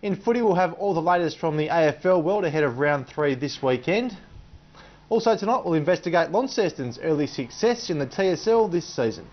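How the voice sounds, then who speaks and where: Male sports newsreader, Australian accent, fairly high voice, slightly dull broadcast audio. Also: lively intonation, fairly quick. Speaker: sports presenter. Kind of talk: scripted sports news reading.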